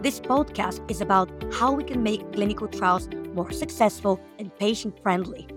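A woman's voice: mid-range voice, moderate pace, over music. A woman from Bulgaria hosting a podcast and interviewing a guest in an upbeat way, sometimes repeating words as she goes. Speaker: podcast host.

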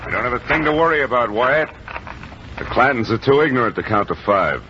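Sharp-voiced cocky gunfighter. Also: male voice, medium pitch, lively intonation, a little fast, a little hiss or hum. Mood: cool, mocking. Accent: American. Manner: cool, mocking. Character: cocky, scornful Old West gunfighter. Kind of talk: old-time radio western acting. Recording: muffled vintage radio recording.

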